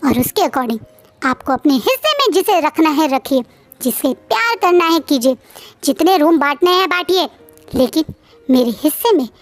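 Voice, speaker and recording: A woman voicing a defiant man who forcefully claims his equal share of the house. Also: sits high.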